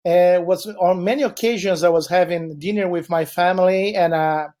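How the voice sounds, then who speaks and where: A man's voice: sits high, fairly even intonation, moderate pace, darkish tone. A man from Chile with a Brazilian Portuguese accent, Portuguese his first language, giving a webinar presentation in a meandering way with frequent fillers.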